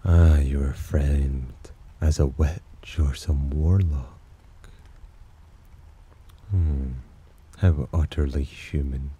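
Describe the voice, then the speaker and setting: A man voicing a haughty, teasing demon who looks down on humans, in an intimate ASMR roleplay with a slow, condescending delivery. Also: full character voice. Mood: curious, condescending. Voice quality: very deep, dark, husky. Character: haughty, teasing male demon, disdainful of humans, possessively protective.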